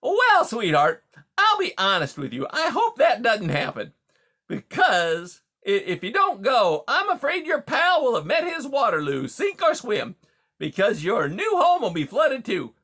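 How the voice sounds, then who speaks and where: A narrator voicing a huge male beaver in a storybook, a brash, folksy, smooth-talking bully who talks over others. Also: medium pitch, huge pitch swings, a little slow, a bit bright, voice pushed up, exaggerated voice. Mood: falsely polite menace. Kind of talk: audiobook character dialogue.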